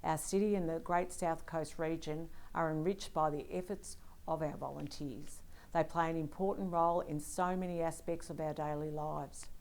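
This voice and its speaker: A woman, a city official, giving a measured, formal address. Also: lowish voice, a little mellow. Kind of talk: scripted address.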